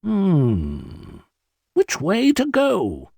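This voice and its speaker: Husky, very animated game voice by a man. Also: sits high, drawn-out words, no echo, studio quality, exaggerated voice. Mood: curious, musing. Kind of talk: scripted adventure game voice lines.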